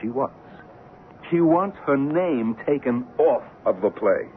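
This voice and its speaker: Casting: proud, exasperated producer, effusive and highly animated, old-time radio play, narrow hissy sound. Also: male voice, slow. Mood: sarcastic, agitated. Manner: emphatic, repetitive, effusive. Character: excitable, exasperated Broadway producer, proud and theatrical.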